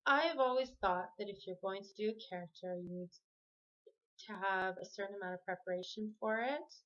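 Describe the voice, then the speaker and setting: A chatty woman talks to camera from her home, wandering through her thoughts and stopping to fix her words mid-sentence. Her voice sits at an ordinary middle pitch. The sound is dull and lo-fi, like a basic home video. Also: fairly quick, a bit bright. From Canada. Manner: rambling, self-correcting, chatty. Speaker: vlogger. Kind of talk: casual talk to camera. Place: home room with the TV on.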